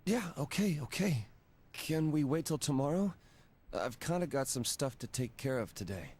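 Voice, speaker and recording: A man's voice: medium pitch, lively intonation, moderate pace, a little mellow, a little hiss or hum, close to an everyday voice. A man voicing a nervous, bewildered young man in a video game cutscene, stammering and hesitant. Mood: awkward, confused. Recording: music under the voice.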